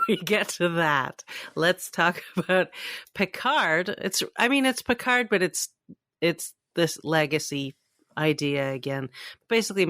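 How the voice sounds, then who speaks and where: A woman's voice: low-pitched, a bit bright, dry recording. Dry-sounding woman in casual podcast chat. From Toronto, Canada. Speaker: podcast co-host. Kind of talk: casual chat about entertainment news.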